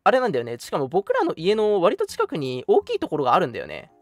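Animated young man chatting over music. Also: on the high side, quick pace, no room sound. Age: young adult. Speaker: radio show host. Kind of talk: casual chat. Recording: music playing behind the voice. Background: background music.

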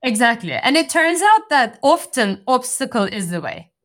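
A woman's voice: on the high side, very animated, normal speed, fairly bright tone. A woman from Turkey, a venture capital partner, giving structured, articulate answers in an interview. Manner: articulate, structured explanations with frequent "so" and "you know".

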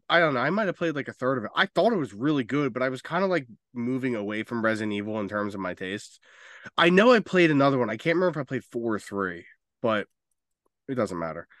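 A man with a mid-pitched, very animated voice chats as a podcast co-host. He sounds informal, opinionated and emphatic, and the recording is dry and free of room sound. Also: no room sound. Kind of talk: casual opinionated film and game chat.

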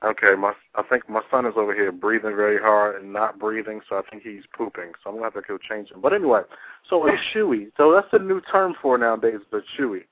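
A man's voice: on the low side, fast, no echo. A man hosting a casual call-in talk show, speaking in loose slang and repeating himself for emphasis. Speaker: talk radio host. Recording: narrow, tinny phone-line radio sound.

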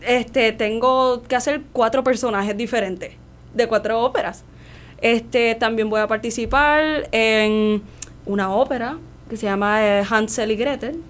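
Young Puerto Rican woman, higher-pitched voice. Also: measured pace. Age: young adult. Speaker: guest, classical soprano and voice student. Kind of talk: casual interview chat.

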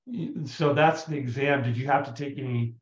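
Halting male host in a roomy dull recording. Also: mid-range voice. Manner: halting, restarts his questions. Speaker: interview host. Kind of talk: casual interview questions.

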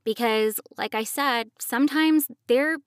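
A woman co-hosting an instructional podcast, explaining in a measured, emphatic, conversational way. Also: fairly high pitch, no echo, studio quality. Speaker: podcast co-host, professional voice actor.

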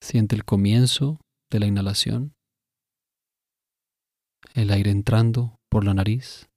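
A man narrating a scripted guided meditation in a measured, instructive way, pausing between phrases. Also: on the low side, fairly even intonation, a little mellow, no room sound, clean studio sound.

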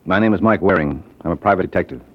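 An actor playing a courteous, businesslike American private detective in an old radio crime drama. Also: male voice, sits low, very fast, dull audio, noisy background, natural voice.

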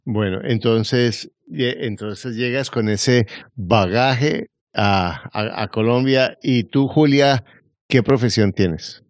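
A man's voice: mid-range voice, slow, dry recording. A man hosting an interview, asking probing questions with frequent restarts. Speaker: podcast host.

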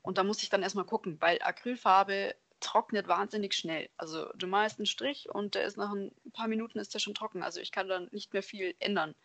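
Female interview guest, medium pitch, casual conversational delivery, dry close sound without room echo. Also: steady tone, a little fast, hardly any pauses, a bit bright. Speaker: podcast guest, hobby painter.

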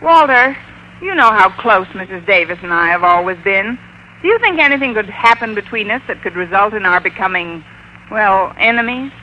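A woman playing a sardonic high school English teacher in an old radio comedy, with a dry, wisecracking, crisp delivery. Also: animated, moderate pace, highs cut off, lightly acted. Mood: anxious, fond. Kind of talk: 1940s-50s radio comedy acting and narration. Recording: noisy old-time radio recording.

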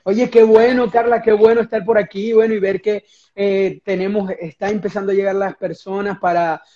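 Venezuelan man in his thirties, mellow voice, upbeat with many fillers, lo-fi video call audio. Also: high-pitched, level tone, normal speed. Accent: Venezuelan Spanish. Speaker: guest marketing director.

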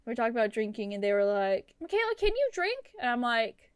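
A woman's voice: a little high, big pitch swings, fast, clean tone, dry recording, clean studio sound. A woman in her late twenties co-hosting a podcast, rambling and trailing off in casual chat. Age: 20s. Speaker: podcast co-host.

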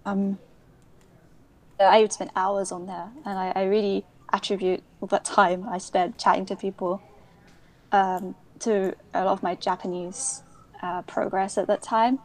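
A woman's voice: medium pitch, little pitch movement, a little slow. Chatty young woman. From United Kingdom. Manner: chatty and digressive, many restarts. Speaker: guest. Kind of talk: casual interview chat.

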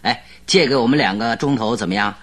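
An actor playing a shrewd, secretive male detective in a radio drama, with a husky, unhurried, coaxing delivery. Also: medium pitch, fairly bright tone. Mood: friendly, coaxing. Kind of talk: Mandarin radio drama acting.

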